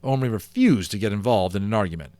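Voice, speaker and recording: A man reading a children's novel aloud at home, with a very animated rise and fall in his voice. His storytelling is expressive, and at the grim parts he sinks low and soft. The sound is close and dry. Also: fast, no echo. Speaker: amateur voice actor and audiobook reader.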